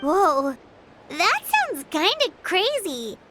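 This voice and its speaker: Very high, squeaky fairy sidekick. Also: female voice, drawn-out words, very noisy, exaggerated voice. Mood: thoughtful, questioning. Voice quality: squeaky, childlike. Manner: perky, expressive, refers to self in third person. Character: excitable childlike floating fairy companion, third-person talker. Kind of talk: video game voice acting. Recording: music behind the voice.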